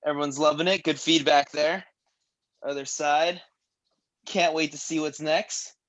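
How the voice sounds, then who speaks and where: A man in his thirties leading a stretch class, joking between calm breathing cues. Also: a little high, a little slow, dull audio. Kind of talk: guided stretching class with casual chat.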